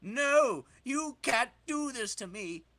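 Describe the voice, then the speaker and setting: Man acting frantic male villain, petulant, shrill, melodramatic, very animated pitch, sharp bright tone, dry sound, comic-book reading. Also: slow, no echo, full character voice. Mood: irritated, protesting.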